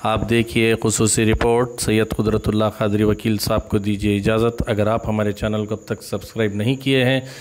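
A man's voice: lowish voice, little pitch movement, normal speed, hardly any pauses. A man presenting scripted news in a formal announcer manner. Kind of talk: scripted news narration for an online channel. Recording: noticeable background noise.

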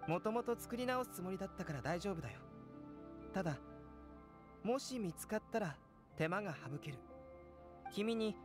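Young scholar for fantasy game. High male voice, composed, polite, analytical, faint music behind. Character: young male alchemist, calm, curious, detached scholar. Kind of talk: anime video game acting.

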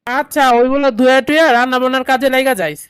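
A woman's voice: high voice, steady tone, very fast, smooth, dry recording, clean studio sound, lightly acted. A woman voicing a bossy, fussing grandmother in a comedy cartoon, with a Bangladeshi accent and a commanding, insistent manner. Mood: bossy, scolding. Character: bossy, fussing grandmother, doting mother-in-law. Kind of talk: comedy cartoon dialogue in colloquial dialect.

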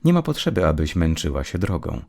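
A man voicing a polite, controlling middle-aged mother with a courteous, discouraging manner in a dark audio story. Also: low voice, huge pitch swings, a little fast, vocal fry, exaggerated voice. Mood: politely dissuading.

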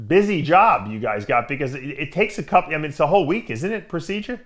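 A middle-aged man hosting a video interview show from a studio, asking questions with lots of quick interjections. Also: a little high. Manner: energetic, interjecting often. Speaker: host. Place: studio with branded backdrop.

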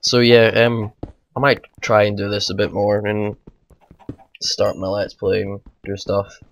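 A man, hoarse from a bad cold, rambling through casual let's play commentary at home. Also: lowish voice, flat delivery, a little slow, a little hiss or hum. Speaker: gaming channel host.

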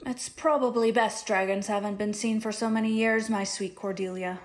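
An actress playing a tired, loving mother in a fantasy audio drama, gentle and thoughtful as she shares lore. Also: medium pitch, fairly even intonation, moderate pace, slight background noise, close to an everyday voice.

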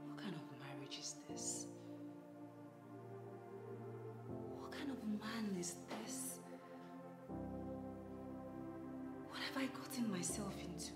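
A woman's voice: big pitch swings, moderate pace. A woman playing a fed-up wife. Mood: despairing. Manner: shrill scolding, then whispered praying. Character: exasperated wife fed up with her husband. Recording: echoey room with music behind.

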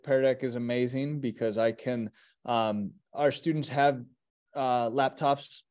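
A man's voice: medium pitch, darkish tone. A middle-aged man, a teacher, explaining his methods with examples on a video call. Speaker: teacher, presenter. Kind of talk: explanatory webinar talk with examples.

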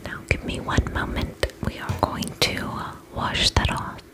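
A woman whispering breathily in a soothing, attentive ASMR roleplay as a gentle vampire spa attendant. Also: unhurried.

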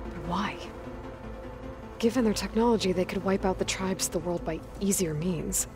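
A woman's voice: normal speed, over music, close to an everyday voice. A woman playing an earnest young female adventurer in a video game, talking in a plain, thoughtful way. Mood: concerned, puzzled. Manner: plain-spoken, thoughtful, low-key. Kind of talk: scripted video game dialogue.

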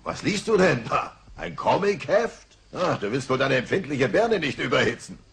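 A man's voice: a little high, big pitch swings, normal speed, gravelly, a bit bright, a little hiss or hum, exaggerated voice. A man playing a dim, good-natured, grumbly middle-aged cartoon father with blunt manner. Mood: curious, friendly.

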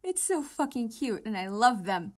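A young woman with a high voice reads fan comics aloud and chats about them. She is gushing and giggly, and swears freely as she reacts. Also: very animated, moderate pace, a little mellow.

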